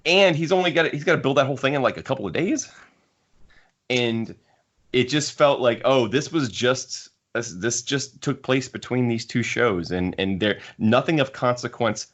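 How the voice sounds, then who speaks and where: A man's voice: mid-range voice, expressive, normal speed, fairly bright tone. A man hosting a podcast, casually discussing a book and thinking aloud as he rambles. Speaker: podcast host. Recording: dull lo-fi podcast audio.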